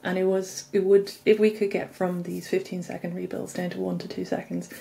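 A woman's voice: on the low side, little pitch movement, brisk, gravelly, warm tone. A woman in her thirties or forties speaking informally as a manager being interviewed on a video call. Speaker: engineering manager, interview guest. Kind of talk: informal technical interview talk.

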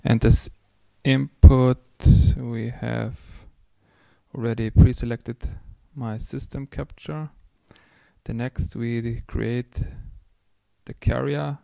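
A man presenting a step-by-step software demonstration in a halting, piecemeal way. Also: medium pitch, level tone, gravelly, no room sound. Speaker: tutorial presenter. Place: indoor screencast voice-over.

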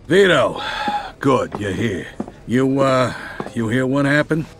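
A man's voice: mid-range voice, expressive, takes it slowly, a bit bright, a little hiss or hum. A man playing a blunt, suspicious mafia associate in a video game, profane and probing. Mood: grave.

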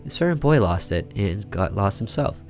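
A man's voice: lowish voice, expressive, moderate pace, a little mellow, soft background music. A man voicing a protective but evasive boy, an older brother in a horror visual novel, explaining earnestly and defensively. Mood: reassuring.

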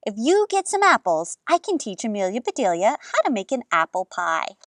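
A woman voicing a brisk, playful old grandmother from a storybook, jokingly bossy. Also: on the high side, very animated, a bit bright, no echo, clean studio sound, far from the mic. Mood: playfully bossy.

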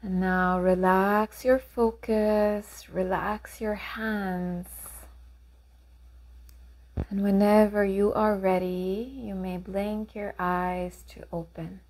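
Slow-speaking woman guiding meditation. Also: medium pitch. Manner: calm, unhurried, gently instructive with long pauses. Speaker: meditation guide. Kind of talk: guided meditation narration.

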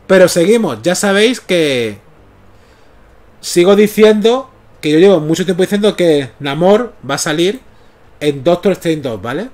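A man's voice: high-pitched, expressive, a little slow, a little mellow. A man in his thirties with a Canarian Spanish accent, chatting casually on a comics livestream through a headset mic in a small room at home. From Canary Islands. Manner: chatty, often checks in with "¿vale?". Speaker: comics streamer. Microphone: gaming headset mic.

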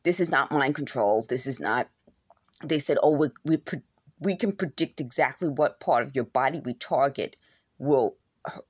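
A woman's voice: rumbling low, normal speed, breathy edge, dry recording, studio quality. A middle-aged woman who has just woken up, with a scratchy throat, talking earnestly and repetitively into a phone camera at home. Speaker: vlogger. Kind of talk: rambling to-camera monologue. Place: indoors at home. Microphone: phone camera held close.

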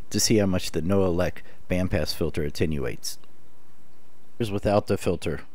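A man's voice: low voice, normal speed, a little mellow. A man giving scripted tutorial narration as the host of a radio hobby video.

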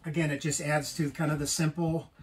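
A man's voice: a little high, flat delivery, brisk. A man in his fifties giving a casual, matter-of-fact tour of his small wood-paneled home, recorded by a camera a few feet away. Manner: plain, matter-of-fact explaining with frequent ums. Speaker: homeowner giving a house tour. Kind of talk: casual house tour talk. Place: small wood-paneled tiny house indoors. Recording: roomy, slightly distant with faint hiss.

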